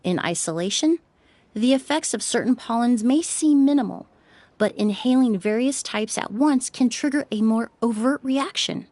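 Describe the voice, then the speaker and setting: A woman voicing a polite, composed young perfumer in a video game, explaining things in a measured, courteous way. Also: on the high side, expressive, darkish tone. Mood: calm explaining.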